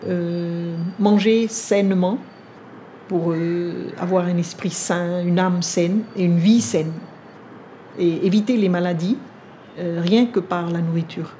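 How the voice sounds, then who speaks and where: Older woman with Vietnamese as her first language, low mellow voice, unhurried and reflective, interview in a living room. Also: steady tone, slight background noise. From India. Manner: measured, thoughtful, with frequent pauses and hesitations. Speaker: cookbook author. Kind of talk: sit-down interview.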